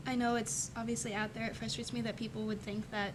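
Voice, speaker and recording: A young woman student on a discussion panel, thinking aloud tentatively with pauses. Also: flat delivery. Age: teens to young adult. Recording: clear background noise and rumble.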